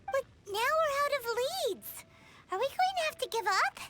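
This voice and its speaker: Casting: a naive, excitable little fairy companion for a video game; squeaky, extremely high, very lively pitch. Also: female voice, moderate pace. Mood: worried. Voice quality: squeaky, childlike. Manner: speaks of herself in third person, naive. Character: tiny excitable childlike female fairy companion. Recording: loud game music behind.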